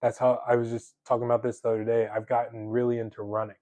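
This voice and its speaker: A man's voice: medium pitch, completely flat delivery, fast talker, warm tone, no room sound. A young man in his twenties hosting a podcast, chatting casually with lots of fillers and easy laughs. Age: 20s. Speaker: podcast host. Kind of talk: casual conversation.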